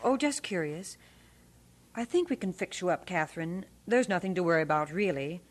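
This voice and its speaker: An actress playing a calm, kindly college nurse with a reassuring manner, in a radio drama. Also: female voice, on the low side, moderate pace.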